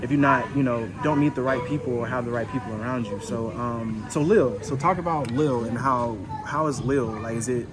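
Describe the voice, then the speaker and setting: A man's voice: mid-range voice, fairly quick, few pauses, a crowd in the background. A man hosting a casual interview over a meal, rambling with lots of "you know" and "like". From United States. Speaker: host.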